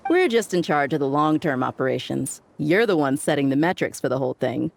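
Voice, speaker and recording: A woman's voice: low-pitched, lively intonation, a little fast, gravelly, slight background noise. A woman playing a confident, easygoing mercenary in a video game, casual and cocky in her talk. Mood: breezy. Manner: casual, cocky, plain-spoken. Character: confident, easygoing female mercenary, breezy and brash. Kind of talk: video game character dialogue.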